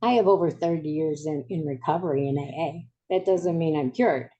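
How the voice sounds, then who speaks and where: A woman in her seventies hosts a podcast interview. Her voice sits low and sounds soft and dark. She talks in a chatty way and laughs easily at herself. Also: fairly quick, highs cut off. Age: 70s. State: recovering from voice loss. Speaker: podcast host.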